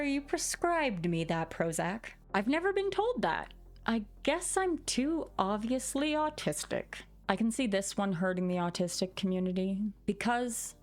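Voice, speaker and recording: Sarcastic woman reading dramatically. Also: medium pitch. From Canada. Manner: sarcastic, theatrical. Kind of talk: dramatic reading of online posts with commentary.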